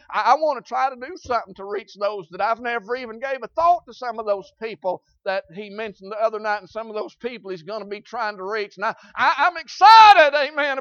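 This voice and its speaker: A man preaching a sermon in a Southern US accent, folksy and repetitive, swinging between loud shouts and quiet asides. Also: high-pitched, no room sound, muffled recording.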